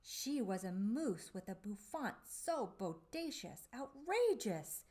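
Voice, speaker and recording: A woman reading a storybook aloud for young children, with deliberate, dramatic phrasing and drawn-out emphasis on key words. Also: a little high, big pitch swings, a little mellow. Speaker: children's storybook reader and presenter.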